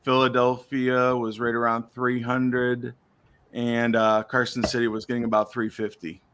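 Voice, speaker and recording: A man of middle pitch in a casual online chat, losing his voice. He lays things out steadily and in plain detail. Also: monotone, a little slow, a bit bright, a little hiss or hum. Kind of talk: casual chat about coins.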